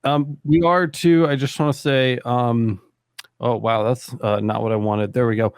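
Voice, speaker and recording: Podcast host, male, 30s, relaxed rambling talker, close dry mic. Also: mid-range voice, a little slow, clear, resonant tone, no echo. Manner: laid-back, rambling, lots of "like" and "dude". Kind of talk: casual chat.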